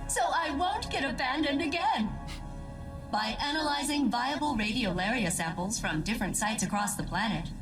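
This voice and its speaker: A perky female spaceship computer for a video game. The delivery is theatrical and highly animated, swinging from formal to chummy with a wounded edge. It sits a little back from the mic, with music clearly behind it. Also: recorded from a distance, low-quality recording. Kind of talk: scripted video game dialogue.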